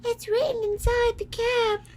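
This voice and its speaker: Tiny squeaky mouse queen. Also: little pitch movement, measured pace, darkish tone. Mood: helpful, reassuring. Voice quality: squeaky, small voice.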